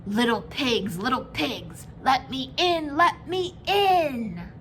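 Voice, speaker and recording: A woman voicing a greedy, menacing male storybook wolf with loud, drawn-out, theatrical threats. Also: high voice, lively intonation, a little hiss or hum, heavily acted. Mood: coaxing, demanding.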